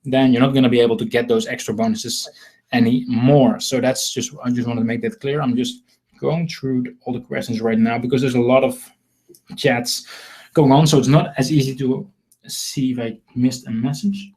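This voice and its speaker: Dutch guy doing a live sales webinar, second-language english, kinda rambly and humble, repeats himself, mid pitch. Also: fairly even intonation, normal speed, a little mellow. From Netherlands. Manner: rambling, repetitive, self-deprecating. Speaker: webinar host selling marketing software.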